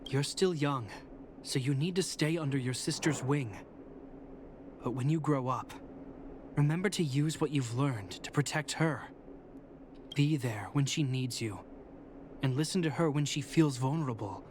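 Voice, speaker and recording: A man voicing an earnest young guard captain in a game, speaking in a steady, reassuring way. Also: medium pitch, unhurried, long pauses, slight background noise, natural voice. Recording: game audio with music behind.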